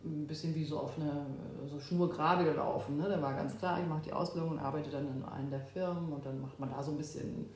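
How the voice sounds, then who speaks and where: A woman in her fifties from Berlin, a guest in an interview, who talks thoughtfully with pauses and fillers. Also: sits low, fairly even intonation, a little mellow. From Berlin, Germany. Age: 50s. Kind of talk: oral history interview. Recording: echoey room.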